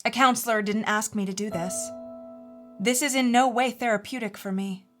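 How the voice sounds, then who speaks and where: A woman playing a haunted mother in her forties, telling a first-person horror story in a measured, earnest, confiding way. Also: fairly high pitch, steady tone, lightly acted. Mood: sober, confiding. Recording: music bed under the voice.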